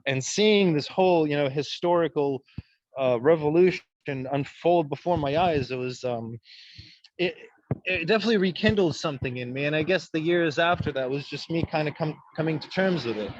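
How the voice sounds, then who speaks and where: A man's voice: fairly high pitch, normal speed, no room sound, muffled recording. A young man from Ukraine whose first language is Russian, answering as an interview guest, hesitant with many fillers and restarts.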